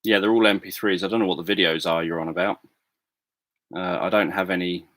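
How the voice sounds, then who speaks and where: Deep-voiced man, soft and halting. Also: steady tone, dry recording, studio quality. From England. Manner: hesitant, many fillers and restarts. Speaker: livestream host. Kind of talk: casual livestream chat answering viewers. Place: home room.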